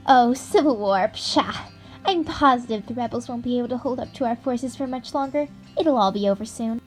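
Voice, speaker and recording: A woman plays a self-assured girl in a radio play, quick to reassure. Her voice sits a little high, with faint music behind it. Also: lightly acted. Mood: breezy, confident. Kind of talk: radio theater acting.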